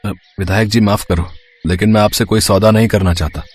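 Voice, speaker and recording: A man's voice: lowish voice, steady tone, a little fast, husky, no room sound. A man playing a poor farmer, polite but firm, in a moral-story cartoon. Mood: polite, defiant.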